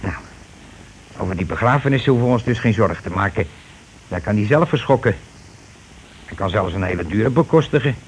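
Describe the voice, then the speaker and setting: An actor playing a scheming, money-grabbing husband and landlord in a radio play, talking in wheedling, self-justifying colloquial patter. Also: male voice, lowish voice, lively intonation, fairly quick, pauses often, close to an everyday voice. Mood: smug, self-justifying. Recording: noisy old radio drama recording.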